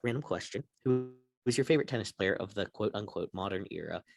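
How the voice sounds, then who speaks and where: A man's voice: lowish voice, fast talker, gravelly, dry recording. A man hosting a casual sports talk show, chatting and putting questions to his co-hosts. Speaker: sports podcast host.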